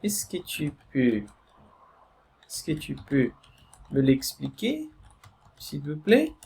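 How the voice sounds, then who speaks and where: Man teaching French slowly. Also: a little high, huge pitch swings, creaky, a little mellow, slight background noise. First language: Portuguese. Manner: slow, repeats "d'accord?" and "voilà" to check in; reads screen text aloud while explaining. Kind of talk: online language lesson.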